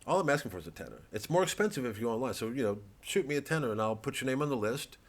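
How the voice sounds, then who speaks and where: A man's voice: medium pitch, very animated, racing. A middle-aged male comedian with a hesitant, sardonic, profane way of talking, rambling through a promotional monologue. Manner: hesitant, sardonic and profane, full of filler and restarts.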